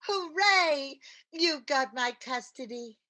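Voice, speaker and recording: An actress playing a wry, scatterbrained housewife with sardonic comic timing in an old-time radio sitcom. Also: female voice, high-pitched, expressive, takes it slowly, pushed and bright, exaggerated voice. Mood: breezy.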